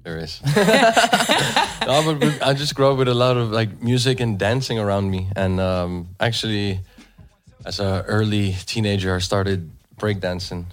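A man in his thirties, raised in Denmark in a Filipino family, talking casually about music on a radio show, relaxed and laughing often. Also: fairly low pitch, moderate pace. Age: 30s. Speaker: DJ and event promoter.

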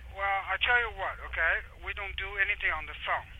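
A man's voice: high-pitched, brisk, a loud crowd. A man answering a phone call about a job, speaking hesitantly with many fillers. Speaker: business owner taking calls. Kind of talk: phone conversation.

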